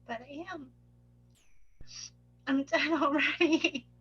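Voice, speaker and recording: A woman's voice: high voice, steady tone, takes it slowly, bright, forceful tone, muffled recording, full character voice. A woman playing a volatile, wounded young psychiatric patient in a live script reading. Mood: broken, quiet. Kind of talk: live table read of a film script.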